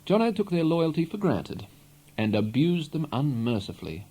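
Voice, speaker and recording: A man reading a literary novel aloud in an expressive, measured storytelling manner. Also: on the high side. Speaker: audiobook reader. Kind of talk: literary narration, read aloud.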